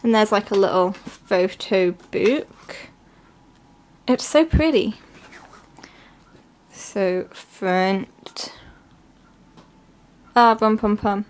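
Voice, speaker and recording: Congested young woman, chatty and excited. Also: medium pitch, very slow, pauses often. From England. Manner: chatty, excited, many fillers. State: congested from a cold. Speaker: vlogger. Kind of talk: casual unboxing narration. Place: at home, at a table.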